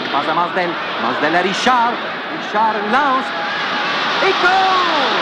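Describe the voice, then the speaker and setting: A man playing an excited 1940s radio hockey announcer, with a Quebec French accent, calling play-by-play. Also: very high voice. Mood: jubilant. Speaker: sports commentator. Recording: noisy old radio broadcast sound.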